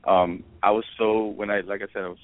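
A man's voice: lowish voice, flat delivery, fairly quick, no room sound. Hesitant man from Ecuador on a tinny line. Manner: rambling, hesitant, many fillers and restarts. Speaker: musician, band founder. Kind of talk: radio interview guest.

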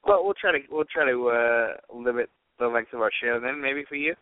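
Joking male podcast co-host, mid-pitched, tinny dry phone-like audio. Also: moderate pace, gravelly, no room sound. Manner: teasing, joking. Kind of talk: casual sports podcast chat.

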